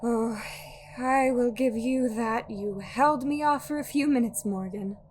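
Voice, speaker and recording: A woman's voice: on the high side, unhurried, a little mellow, slight background noise, close to an everyday voice. A woman playing a cold, commanding cult survivor who interrogates her enemy firmly in an audio drama. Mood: cool, confident. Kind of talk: dramatic audio roleplay acting.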